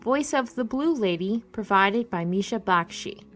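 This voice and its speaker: A woman's voice: medium pitch, expressive, a little slow. A woman reading scripted end credits for a podcast as an announcer. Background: music.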